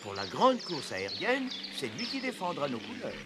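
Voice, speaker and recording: A man's voice: sits high, wildly swooping pitch, normal speed, very noisy. An actor playing a proud, admiring village man in a cartoon, declaiming expressively.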